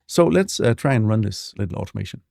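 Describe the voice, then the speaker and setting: A man walking through a software demo in a relaxed, explanatory way, with frequent fillers, speaking close to a desk mic. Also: on the low side, quick pace, creaky, no echo. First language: not English. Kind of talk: screen-share tutorial walkthrough.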